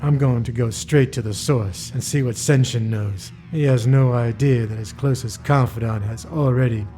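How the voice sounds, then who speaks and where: A commanding covert-operations chief played by a male actor lays things out with calculating authority. His voice is medium in pitch and emphatic, over clear audio drama music. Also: normal speed, warm tone, lightly acted.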